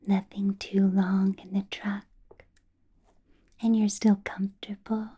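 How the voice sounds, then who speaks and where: Slow, whispering vampire boss lady, acted by a woman. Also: medium pitch, flat delivery, highs cut off. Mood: coaxing, businesslike. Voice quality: breathy soft whisper. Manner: slow, coaxing, hushed questioning with lingering hums. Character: female vampire boss, doting, quietly probing and possessive. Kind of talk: ASMR roleplay, personal attention.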